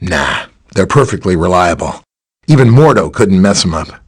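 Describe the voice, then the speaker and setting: A friendly, knowledgeable superhero scientist from a video game. His voice sits on the low side with a husky grain. The pitch moves in an animated way, and he talks like an easygoing ally, conversational even when explaining. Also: normal speed, exaggerated voice. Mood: explanatory. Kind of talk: video game character dialogue, briefing and greeting.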